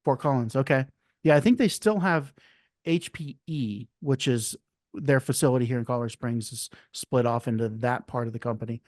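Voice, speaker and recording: A man hosting a podcast, talking in a relaxed, rambling way with restarts and fillers. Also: medium pitch, moderate pace, dry recording, studio quality. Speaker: podcast host. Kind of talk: casual interview chat.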